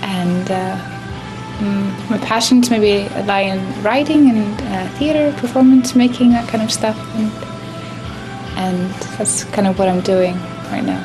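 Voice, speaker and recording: A woman's voice: mid-range voice, measured pace, over loud music, poor audio. Hesitant young Icelandic woman. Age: 20s. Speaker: contestant. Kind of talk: casual self-introduction.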